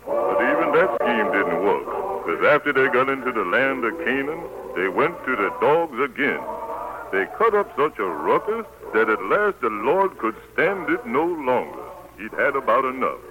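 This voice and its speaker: An actor playing a homespun, colloquial storyteller narrating Bible tales in a 1940s radio drama. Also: male voice, mid-range voice, sing-song, normal speed, close to an everyday voice. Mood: wry storytelling. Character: folksy preacher-like storyteller recounting Bible tales. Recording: noisy old 1940s radio broadcast.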